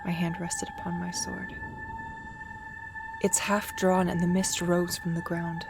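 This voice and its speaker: A woman playing a weary, grieving old soldier who narrates a dark fantasy audio drama in a solemn, dramatic manner. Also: on the low side, little pitch movement, unhurried, music in the background. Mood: tense, wary.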